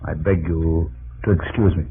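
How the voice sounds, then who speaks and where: An actor playing a proud, ambitious cabinet minister, stiff, formal and guarded, in 1930s radio drama style. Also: male voice, deep voice, steady tone, moderate pace, over music. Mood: stiff, defiant. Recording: tinny, hissy 1930s radio recording.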